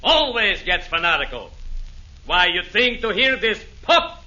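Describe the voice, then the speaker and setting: A drawling demon schemer. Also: male voice, sits high, big pitch swings, a little slow, pushed and bright, muffled recording, full character voice. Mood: sneering. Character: Southern slave-driver demon Legree, scheming. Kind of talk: 1940s radio acting.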